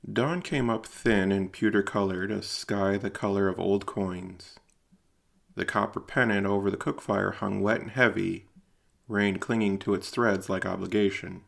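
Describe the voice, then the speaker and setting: Low, creaky-voiced male storyteller. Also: fairly even intonation. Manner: measured, deliberate, literary delivery. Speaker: narrator and writer-performer. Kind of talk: scripted audio drama narration.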